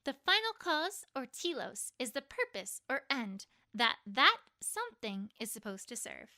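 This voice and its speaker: A woman's voice: a little high, sing-song, measured pace, sharp tone, full character voice. A woman voicing an anxious, bookish young female unicorn pony in a story, with a hesitant, self-doubting manner. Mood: hushed, studious. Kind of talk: dramatized fan fiction audiobook. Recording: long reverb on voice.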